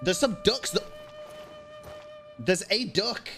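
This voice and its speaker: A man's voice: sits high, big pitch swings, slow, gravelly, fairly bright tone. A man giving casual, slangy live gameplay commentary as a streamer, reacting candidly. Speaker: video game streamer. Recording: game music behind the voice.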